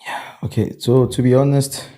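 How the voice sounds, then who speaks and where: A man's voice: mid-range voice, flat delivery, moderate pace, mellow. A young man from Congo, guest on a studio podcast, talking casually about himself with many fillers and restarts. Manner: hesitant, many fillers and restarts, laughs easily. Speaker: podcast guest, football coach. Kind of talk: casual interview.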